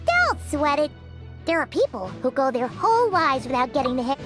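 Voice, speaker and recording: A woman's voice: high voice, big pitch swings, moderate pace. A woman voicing a small floating female companion who is bubbly and bossy and talks about herself in the third person, in video game dialogue. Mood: chirpy. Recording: game audio with soft music behind.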